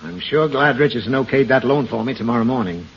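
A man's voice: medium pitch, expressive, fast, natural voice. A man playing a long-suffering, indulgent, blustery father in an old-time radio comedy. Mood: upbeat. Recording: dull vintage radio recording, audience, noise.

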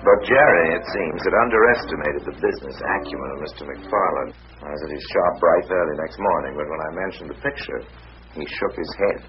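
Man playing private eye, very low voice, dry clipped narration, muffled old radio recording. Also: fairly quick, a little hiss or hum, lightly acted. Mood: grim. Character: hard-boiled American private eye narrating his case. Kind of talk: vintage radio drama narration.

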